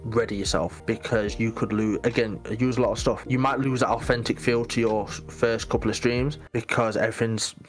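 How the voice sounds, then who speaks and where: A man, a podcast guest chatting casually, animated and emphatic, giving advice in short punchy bursts. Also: mid-range voice, brisk, few pauses, soft background music. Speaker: podcast guest, Twitch mod.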